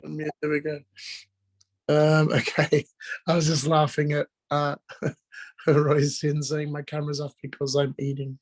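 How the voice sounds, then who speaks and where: A man with a hoarse, strained voice from being sick, informally explaining drawing step by step as a teacher on a live video call. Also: fairly high pitch, monotone, unhurried, pauses often. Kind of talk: casual live online drawing lesson, talking while drawing.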